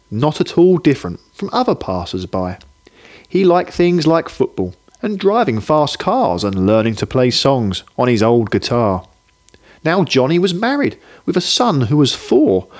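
Man, storybook narrator, rhyming verse, lively melodic delivery, medium pitch.

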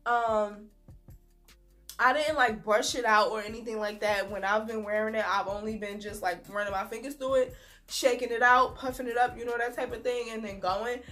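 A young woman's voice, thick and husky from a bad cold, with sniffles between phrases. She chats in a loose, relaxed way, stretching words and filling gaps with "um". Faint music plays softly behind her. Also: a little high. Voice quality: sniffly, husky from a sore throat. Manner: chatty and relaxed, drawn-out words, many "um"s. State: congested with a cold, throaty. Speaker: beauty vlogger. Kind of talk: casual wig review to camera. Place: small indoor room.

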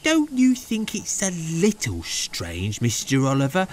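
A man voicing a polite, cautious male brake van in a children's story, murmuring deferentially with gentle concern. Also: on the high side, wildly swooping pitch, unhurried, pitched above the performer's own voice, exaggerated voice. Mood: cautious, worried.

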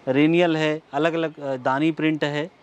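Shop owner guy, kinda high voice, salesy pitch in a big store, clip-on mic. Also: little pitch movement, unhurried, clear, resonant tone, highs cut off. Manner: salesman's pitch, addresses viewers as friends. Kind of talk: showing products in a store. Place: large saree store indoors. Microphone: lapel.

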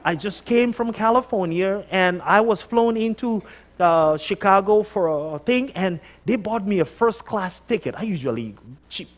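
Male guest preacher, Nigerian accent, high-pitched voice, conversational sermon style with animated, emphatic storytelling. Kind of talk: conversational sermon with personal anecdotes. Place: church sanctuary, on stage before a congregation.

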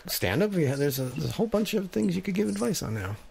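A man's voice: high voice, sing-song, quick pace, gravelly, a little mellow, breathy edge. A man hosting a casual interview, putting conversational questions to his guest. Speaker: podcast interviewer.

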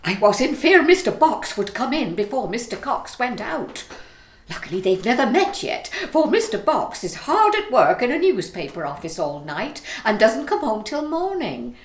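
A woman's voice: on the high side, very animated, moderate pace, echoey room. A woman playing a flustered, sly Victorian London landlady in a farcical audio play. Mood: sly relief. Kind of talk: theatrical farce acting for audio play.

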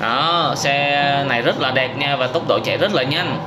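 A man's voice: medium pitch, normal speed. A man in his thirties giving exclamatory, simple storytelling commentary on game footage, as if for children. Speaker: host of gaming reaction videos for children. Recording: noisy, car engine sounds behind.

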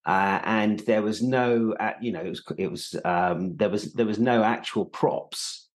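A middle-aged British man with a slightly low voice. He explains conversationally like a teacher, starting and restarting phrases as he works through his point. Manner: halting, repeats words as he explains. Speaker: acting teacher, podcast host. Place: indoor room on a video call.

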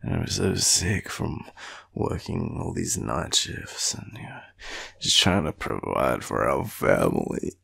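A tired young Australian man murmuring an affectionate, rambling boyfriend roleplay in a deep, gravelly, breathy voice. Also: sing-song, takes it slowly, fairly bright tone. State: tired, sleepy. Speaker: boyfriend roleplay audio performer. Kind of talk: intimate sleepy roleplay, ASMR-like rambling.

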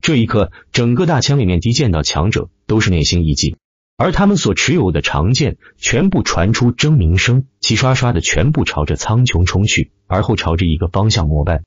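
Male audiobook narrator, animated dramatic delivery, lowish voice, close dry sound with dulled top end. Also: fairly quick, breathy edge, no echo, highs cut off. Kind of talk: scripted dramatic narration of fantasy web novel.

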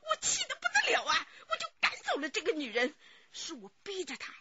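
A woman's voice: very high voice, wildly swooping pitch, brisk, very noisy, exaggerated voice. An actress playing an anxious, evasive old mother in a radio drama, wailing, sighing and repeating herself as she protects her son. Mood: agitated, confiding. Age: older. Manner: flustered, wailing, repeats herself, many sighs. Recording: slightly dull studio radio drama sound.

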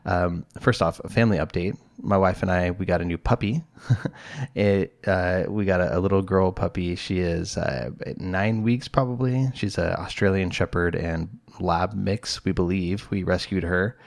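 A man's voice: sits low, moderate pace, creaky. A middle-aged man hosting a solo podcast, talking casually and rambling with lots of fillers and restarts. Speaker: podcast host, psychologist.

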